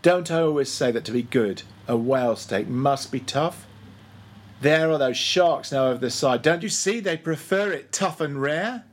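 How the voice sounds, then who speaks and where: A man's voice: a little high, expressive, normal speed. A man voicing a brash, bossy ship's officer in an audiobook, hectoring and imperious with exclamations. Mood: complaining, commanding. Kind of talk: audiobook character voice.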